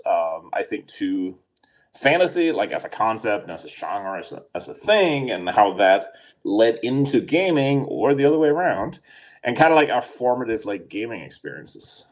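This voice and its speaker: A man's voice: medium pitch, big pitch swings, moderate pace. A man in his forties from Denmark, co-hosting a podcast in casual chat, rambling with many fillers and restarts. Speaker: podcast co-host.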